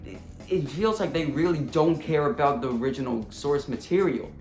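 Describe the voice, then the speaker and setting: A man's voice: a little high, moderate pace, a little mellow. A man delivering casual, scripted comedic commentary for a video essay, with a flippant, slangy, jokey manner. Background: upbeat video game music.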